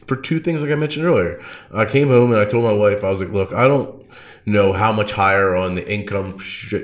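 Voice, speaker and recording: A man hosting a podcast, telling stories in a casual, slangy way peppered with "like". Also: sits low. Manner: informal, slangy, frequent 'like'. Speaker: podcast host. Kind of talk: casual chat, personal storytelling.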